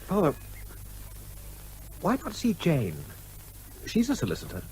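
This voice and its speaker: Very high-voiced son. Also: male voice, huge pitch swings, measured pace, darkish tone, a little hiss or hum, full character voice, low-quality recording. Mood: encouraging. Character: polite, plain-spoken son of a major. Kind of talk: radio comedy drama acting.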